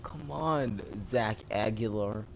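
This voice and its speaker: Very low-voiced woman reacting to a game. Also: takes it slowly, creaky, slight background noise. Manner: slangy, wry reactions. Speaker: gamer. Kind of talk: casual gameplay commentary. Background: loud game music.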